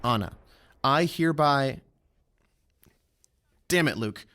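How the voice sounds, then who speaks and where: Impatient young commander. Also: male voice, mid-range voice, lively intonation, slow pace, a bit bright. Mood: agitated, resigned. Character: impatient, decisive military commander, a younger husband. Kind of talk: audio drama acting.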